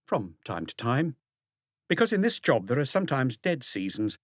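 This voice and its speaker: Man voicing a guarded middle-aged woman, pitched higher. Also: big pitch swings, moderate pace, no room sound, studio quality, close to an everyday voice. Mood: defensive. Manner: curt, evasive, defensive answers. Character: stubborn, guarded middle-aged working woman under questioning.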